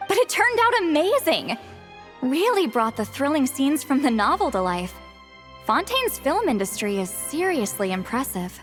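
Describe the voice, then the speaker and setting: A peppy young anime girl, full of eagerness. Her voice is very high and bounces widely in pitch, with music behind. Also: big pitch swings, normal speed, a bit bright. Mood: eager, cheerful. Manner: enthusiastic, peppy. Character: perky, eager young girl, enthusiastic film fan. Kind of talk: English anime game dub, scripted cutscene acting.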